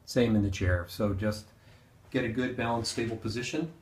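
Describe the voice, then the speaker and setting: Guy doing guided meditation, lowish voice, gentle n unhurried w pauses, pretty echoey room. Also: steady tone, a little hiss or hum. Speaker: meditation teacher. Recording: roomy, echoing sound.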